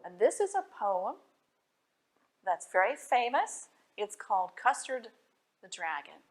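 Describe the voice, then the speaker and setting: A woman reading children's stories and poems at a library storytime, expressive and putting questions to her young listeners. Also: mid-range voice, measured pace.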